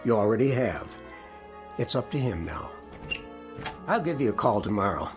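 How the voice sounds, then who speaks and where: An American actor playing a considerate, reassuring family doctor in a 1960s television drama. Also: male voice, on the low side, big pitch swings, moderate pace. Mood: kindly concern. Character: kindly, attentive male family doctor. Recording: vintage TV soundtrack with faint music. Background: faint music.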